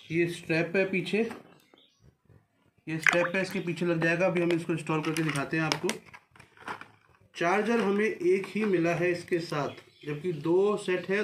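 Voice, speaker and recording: A man giving a casual hands-on unboxing, recorded across a desk in a small room. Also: on the high side. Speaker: vlogger presenting a product unboxing. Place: small furnished office room, indoors. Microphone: camera a few feet away across a desk. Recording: roomy echo, faint background noise.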